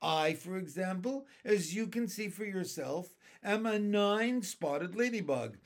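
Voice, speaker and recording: A man's voice: high-pitched, animated, unhurried, voice pushed up. A man voicing a proud, chatty female ladybug in a genteel, modest yet snobbish manner for a storybook. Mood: modest pride.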